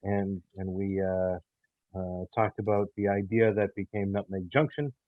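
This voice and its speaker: A man talking in an interview as the creator of an audio theater show, in a halting way that often repeats words and phrases. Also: deep voice, steady tone, no room sound. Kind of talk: conversational interview talk. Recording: thin phone-like sound.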